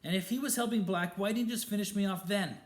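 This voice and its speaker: Man doing a heated teenage boy, raised and quick, in an echoey room. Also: high-pitched, voice pushed up, lightly acted. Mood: urgent. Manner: urgent, heated. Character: defiant teenage boy wizard. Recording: roomy echo.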